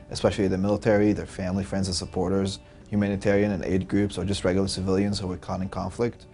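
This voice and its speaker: Guy, real low mellow voice, pretty monotone, lots of uhs, founder talking about his startup. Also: creaky. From Lebanon. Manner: earnest, with frequent fillers. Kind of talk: interview answers.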